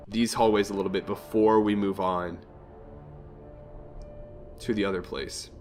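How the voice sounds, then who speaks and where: A young man hosting a let's play, chatting casually and thinking aloud as he plays, his voice a little nasal from a cold. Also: on the low side. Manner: chatty, thinks aloud, reads game text aloud. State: has a cold, slightly nasal. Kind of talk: casual gameplay commentary.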